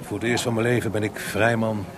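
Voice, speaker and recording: An actor playing a kindly, calm older composer in a radio drama, speaking in a measured way. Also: male voice, on the low side, natural voice. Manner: measured, reassuring. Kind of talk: Dutch radio drama acting. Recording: radio play with crowd murmur.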